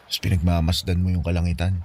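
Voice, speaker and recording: A man's voice: sits low, monotone, quick pace, warm tone, slight background noise, lightly acted. A man playing remorseful friend Makoy. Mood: apologetic, hesitant. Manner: hesitant, apologetic. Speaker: radio drama actor. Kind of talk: Tagalog radio drama acting. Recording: radio drama with background music.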